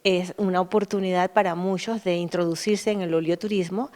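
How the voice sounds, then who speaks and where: A woman's voice: on the low side, steady tone, fairly quick, a little mellow. A woman being interviewed, explaining her work as a producer and host with enthusiasm. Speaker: interviewed olive oil producer and hotel host.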